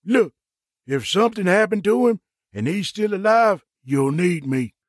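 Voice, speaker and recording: Man voicing a plain-spoken, lowish-pitched frontier cowboy. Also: moderate pace, no echo, studio quality. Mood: insistent. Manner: matter-of-fact, insistent. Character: insistent, plain-spoken male Old West frontier companions.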